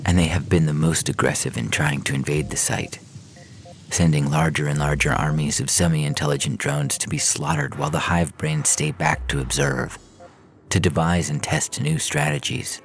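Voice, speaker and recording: A man's extremely deep voice, heavy with gravelly fry, reads a science fiction story. His delivery is measured and literary, like a storyteller, with faint music running underneath. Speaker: fiction podcast narrator. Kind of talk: scripted first-person science fiction story reading. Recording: music bed under the reading.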